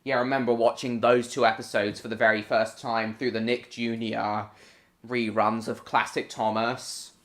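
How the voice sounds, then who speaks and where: A man's voice: medium pitch, level tone, moderate pace. A man from Hong Kong chatting casually on a live stream while gaming, answering viewers by name and restating his points. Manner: answers viewers by name, rambling and repeating himself; bursts into swearing at game mishaps.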